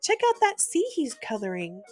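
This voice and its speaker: A woman gently reading a picture book aloud at bedtime as the host of a read-aloud channel, talking to small children in an expressive way. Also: on the high side, normal speed, faint music behind.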